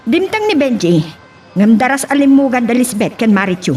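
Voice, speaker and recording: A woman's voice: fairly high pitch, big pitch swings, normal speed, a little mellow, slight background noise. A woman playing a watchful informant in an audio drama, reporting on what she has seen.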